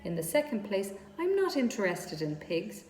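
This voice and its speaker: A woman's voice: medium pitch, big pitch swings, moderate pace, a little mellow, close to an everyday voice. Woman playing a snooty young lamb, echoey sound. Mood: haughty. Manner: curt, snooty. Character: haughty, dismissive young lamb. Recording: echoey room with clear background noise.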